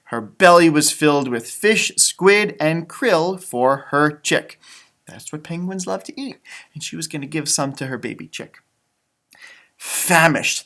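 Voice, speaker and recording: A man reads a picture book to children with a highly animated, swooping delivery. He stretches out the story words expressively, then drops into casual, chatty asides as he explains things like a teacher. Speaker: storybook reader, elementary school teacher.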